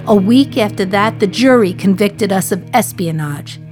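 A woman's voice: mid-range voice, expressive, moderate pace, a little mellow, soft background music, natural voice. A woman playing a wry, defiant condemned prisoner. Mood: sardonic. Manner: wry, sardonic, confiding to the listener. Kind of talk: radio play monologue.

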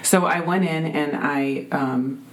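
A woman with a deep voice, telling a heartfelt faith testimony as a guest, full of ums and restarts. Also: slow, poor audio. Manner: earnest, many fillers and restarts.

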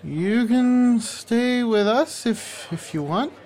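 A man playing a shy, awkward, quiet man who is tipsy and speaks haltingly, in a fantasy audio drama. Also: extremely high pitch, animated, heavily acted. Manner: halting, stammering.

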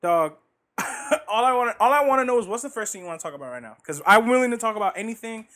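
A man co-hosting a podcast in casual conversation, loud and animated, full of slang. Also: sits high, very fast. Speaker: podcast co-host.

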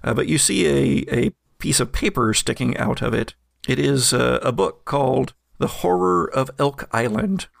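A man runs a tabletop game, describing rooms and objects in a loose, chatty way. His voice has a gravelly edge and sits at a middle pitch. The recording is dry and close. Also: normal speed, dry recording. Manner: relaxed, chatty descriptions with fillers. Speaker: game master.

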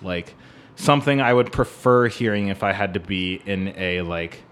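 A man's voice: sits low, moderate pace, fairly bright tone. A man in a casual music discussion, talking in a rambling way and often checking for agreement with "right?". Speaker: podcast co-host.

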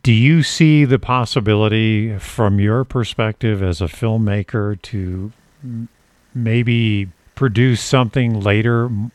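A man's voice: fairly low pitch, a little slow, darkish tone, dry recording. A man hosting an interview, asking questions in a conversational style. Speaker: interview host.

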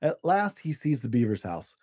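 A man hosting a children's show, reading a storybook aloud in simple, expressive language for young listeners. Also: medium pitch, normal speed, breathy edge, no echo, studio quality.

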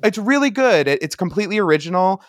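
A man's voice: high voice, lively intonation, fast, no room sound, clean studio sound. A man in his thirties co-hosting a podcast, speaking effusively and emphatically in a casual fan discussion. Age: 30s. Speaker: podcast host.

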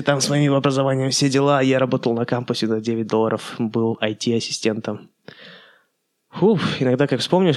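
A young man hosting his own podcast, talking casually and loosely with many fillers and English words mixed in. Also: lowish voice. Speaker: podcast host. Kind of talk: casual solo podcast monologue.

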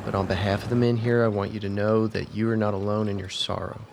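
An actor playing an earnest, deferential army captain who speaks formally and respectfully in a radio drama. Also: male voice, lowish voice, level tone, fast talker, creaky, a little mellow, slight background noise. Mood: solemn, sympathetic. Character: earnest, deferential male army captain, shaken but loyal.

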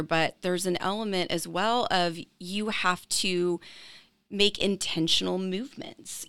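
Woman podcast host, lowish, clear resonant voice, creaky edges, casual interviewing. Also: a bit bright, dry recording.

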